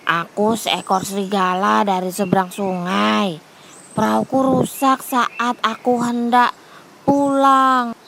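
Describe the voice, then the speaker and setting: Woman doing a polite lost little wolf in a kids story, slow and creaky, noisy recording with birds. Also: mid-range voice, flat delivery, fairly bright tone. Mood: pleading, friendly. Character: young wolf, polite lost stranger asking for help.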